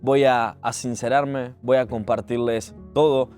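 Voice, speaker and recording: A man's voice: mid-range voice, steady tone, a little slow, a little mellow. A man in his twenties with a Uruguayan accent, telling a personal story in an earnest, conversational, reflective way. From Montevideo, Uruguay. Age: 20s. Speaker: digital entrepreneur telling their life story. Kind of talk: personal storytelling vlog, spoken to camera. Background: music.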